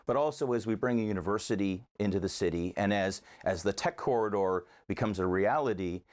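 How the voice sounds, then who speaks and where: A man's voice: on the low side, fairly quick, darkish tone. A man giving conversational interview answers as a local advocate, earnestly arguing his case point by point.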